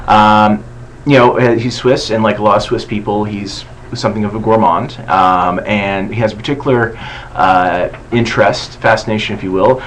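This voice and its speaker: A man hosting a casual campaign video chat, relaxed and self-deprecating, with lots of fillers. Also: on the low side, a little hiss or hum, low-quality recording. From Canada. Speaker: host.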